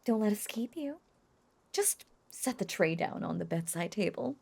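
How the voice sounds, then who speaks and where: A woman voicing an obsessive, possessive witch in an intimate roleplay audio drama, cooing and coaxing in soft, breathy half-whispers. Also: mid-range voice, animated, normal speed. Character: lovesick yandere witch, obsessive, possessive, sweetly unhinged.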